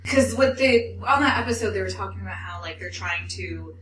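A woman's voice: medium pitch, animated, a little fast, fairly bright tone, a little hiss or hum. A woman co-hosting a podcast, chatting casually with lots of "like" and fillers. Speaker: podcast co-host. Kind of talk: casual chat. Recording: echoey room, mic at a distance.

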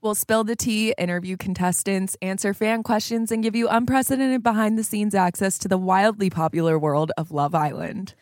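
A woman's voice: mid-range voice, fairly even intonation, normal speed, hardly any pauses, darkish tone, dry recording. Bubbly woman reading a promo, dry sound. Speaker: podcast co-host. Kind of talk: scripted promo read.

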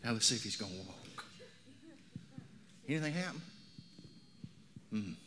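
A man's voice: mid-range voice, animated, unhurried, pushed and bright, a little hiss or hum. A man in his sixties preaching a sermon in a folksy way, telling anecdotes and putting questions to his listeners. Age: 60s. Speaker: pastor preaching. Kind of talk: sermon to a congregation.

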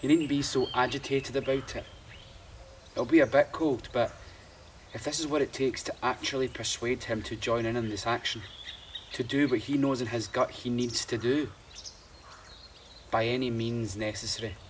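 A man's voice: medium pitch, fairly even intonation, moderate pace, long pauses, lightly acted. A man playing a resolute, unsympathetic rebel in an audio drama, speaking in an insistent, declamatory way. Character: determined, unsympathetic male rebel challenger. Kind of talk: naturalistic audio drama acting. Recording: distant mic, faint outdoor noise. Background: birdsong, outdoor ambience.

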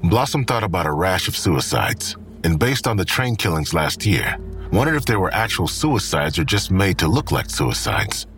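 A man's voice: low voice, sing-song, moderate pace, a bit bright. A man reading scripted third-person narration as the storyteller of an audio drama. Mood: storytelling. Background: music.

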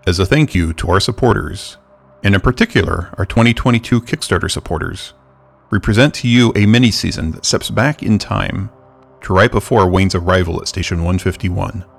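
Male announcer, low pitch, gravelly fry, scripted podcast narration, music underneath. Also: brisk.

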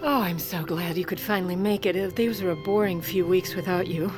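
An actress playing a sardonic, self-assured First Lady in her forties, narrating an audio drama with deadpan, haughty wit. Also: lowish voice, a little fast, over music, natural voice. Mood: dry, aloof. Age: 40s.

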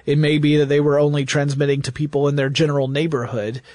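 A man's voice: fairly high pitch, level tone, fast, a little mellow, no room sound. A man talking as a podcast host, explaining step by step in a casual, enthusiastic storyteller's way.

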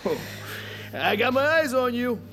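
A man's voice: very high voice, sing-song, measured pace, fairly bright tone, faint music behind, exaggerated voice. An actor playing a pompous, leering little bar boss who needles his staff in an audio drama. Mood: pompous scolding.

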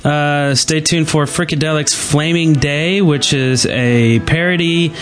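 A man hosting a podcast in casual chat, informal and halting as he goes. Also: on the high side, fairly bright tone. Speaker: podcast host.

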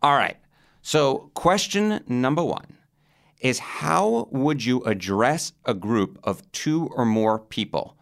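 Lively man hosting with a New York accent. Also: mid-range voice, takes it slowly, creaky, no echo, studio quality. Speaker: podcast host. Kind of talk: scripted podcast narration.

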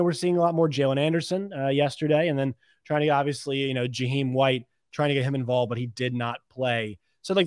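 A man's voice: fairly high pitch, no echo. Male sports podcaster thinking aloud, dry close sound. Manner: conversational, thinking aloud with self-corrections. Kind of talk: unscripted sports commentary and betting picks.

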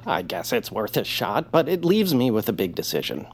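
An actor playing a timid, fussy, self-deprecating radio hero in a comedy audio drama, halting and apologetic. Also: male voice, fairly low pitch, wildly swooping pitch, gravelly, slight background noise, heavily acted. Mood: dejected. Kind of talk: audio fiction comedy acting.

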